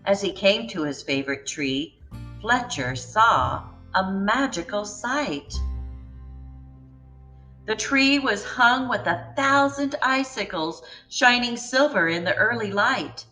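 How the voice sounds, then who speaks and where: A woman reading a children's picture book aloud in a gentle, expressive way. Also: medium pitch, slow pace, faint music behind. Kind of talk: storybook read-aloud.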